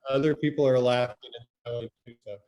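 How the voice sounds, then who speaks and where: A man's voice: medium pitch, fairly even intonation, fairly quick, warm tone, highs cut off. A man hosting a livestream in a friendly, easygoing way, coordinating over a video call. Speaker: host.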